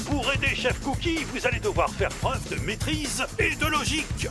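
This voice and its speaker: A kindly grandfather truck who commands missions in a children's cartoon. The voice is jovial and encouraging, with lively, announcing swoops. Loud music runs behind it. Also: sits high, normal speed.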